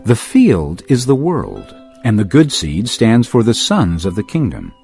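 An actor playing an earnest man teaching crowds in parables, in a measured storytelling delivery for a dramatised audio Bible. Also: lowish voice, wildly swooping pitch, vocal fry, darkish tone, heavily acted. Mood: solemn warning. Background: music.

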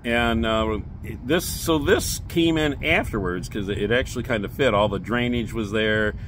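An older man in his sixties giving a relaxed, rambling beer-garden tour, filmed on a handheld phone outdoors. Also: mid-range voice, unhurried, slight background noise. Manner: relaxed, rambling, many fillers. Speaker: beer review vlogger. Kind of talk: casual vlog walk-through. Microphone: phone camera at arm's length.

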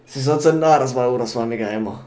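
Man, young adult, average pitch, casual gaming chat, coarse slang. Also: warm tone. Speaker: streamer. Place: indoor room, webcam stream.

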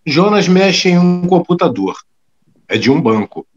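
An actor giving plain scripted narration of the actions in an audio drama. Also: male voice, sits high, very animated, a little slow, dull audio, soft background music, heavily acted. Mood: neutral.

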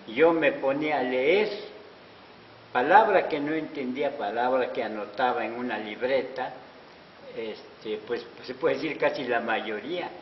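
An older man from Oaxaca whose first language is Zapotec, telling his story modestly in an interview, speaking into a handheld mic in an indoor hallway. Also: mid-range voice. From Oaxaca, Mexico. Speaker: interviewee, artisan promoter. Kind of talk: interview answers. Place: wood-paneled auditorium hallway, indoors. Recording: echoey hall, dull lo-fi sound with hiss.

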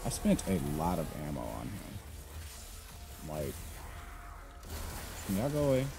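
A man's voice: low-pitched, wildly swooping pitch, measured pace, creaky, over music. A young man giving sarcastic, trash-talking commentary while playing a game. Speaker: gamer. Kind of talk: gameplay commentary.

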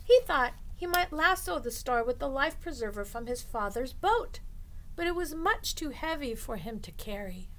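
A middle-aged woman reading a picture book aloud to children as a storytime host, expressively and deliberately, drawing out the ends of phrases. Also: high-pitched, expressive, a bit bright, poor audio. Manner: deliberate, drawing out phrase endings for young listeners. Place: small indoor room lined with bookshelves.

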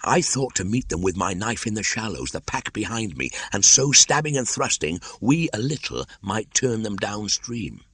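A man's voice: lowish voice, big pitch swings, normal speed, few pauses, fairly bright tone, slightly rough, no room sound, pitched above the performer's own voice, full character voice. A man voicing a proud young man raised by wolves in a storybook, earnest and declamatory in archaic phrases. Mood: proud, urgent.

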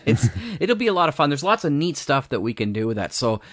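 A man's voice: mid-range voice, very animated, fast talker, dry recording, studio quality. A man, co-hosting a radio drama podcast in casual chat.

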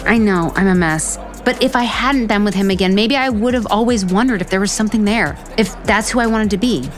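Woman playing messy lovelorn female writer, mid-pitched voice, candid, sweary, self-deprecating, audio rom-com with faint music. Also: fairly quick, close to an everyday voice. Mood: apologetic, nervous. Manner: frank, rambling, profane. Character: earnest, self-deprecating, foul-mouthed lovelorn woman author. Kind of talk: romantic comedy audio drama acting.

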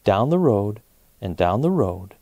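An American man in his forties reading a storybook aloud to children, with clear enunciation and expressive phrasing. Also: on the low side, unhurried, no room sound. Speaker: children's story time host.

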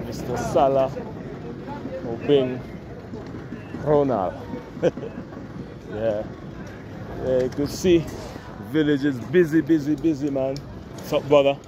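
A man's voice: mid-range voice, steady tone. A man in his forties from the Caribbean, walking through a village market and chatting easygoingly to his camera and to passersby. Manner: slow, easygoing, friendly greetings to passersby. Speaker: travel vlogger. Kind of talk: casual walking vlog narration. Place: busy outdoor village market. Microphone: selfie camera at arm's length. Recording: street noise behind the voice.

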